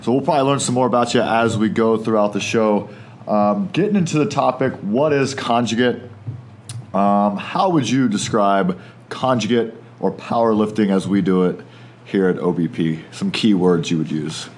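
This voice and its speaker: A man's voice: fairly low pitch, normal speed, darkish tone. Upbeat man hosting a fitness podcast. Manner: upbeat, friendly, laughs easily. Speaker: podcast host. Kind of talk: casual podcast chat.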